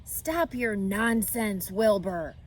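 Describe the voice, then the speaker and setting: A woman's voice: medium pitch, animated, slow pace, slight background noise. Woman putting on a grumpy, scolding old sheep. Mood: scolding, disgusted. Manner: disgusted, lecturing. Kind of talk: children's storybook read-aloud.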